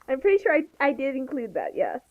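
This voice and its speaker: A woman's voice: high-pitched, wildly swooping pitch, fast talker, phone-quality sound. A woman chatting casually as a co-commentator on a comedic reading. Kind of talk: casual chat.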